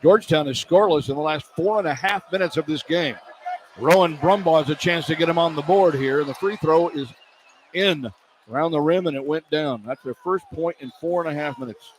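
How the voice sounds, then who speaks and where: A man doing live basketball play-by-play on the radio as the announcer, animated and quick to exclaim at the action. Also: a little high. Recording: poor radio feed, clear background noise.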